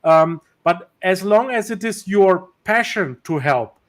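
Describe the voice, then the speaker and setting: A man's voice: high voice, lively intonation, takes it slowly. A male host whose first language is German or Turkish, speaking English in a casual interview over a video call, with many fillers and restarts.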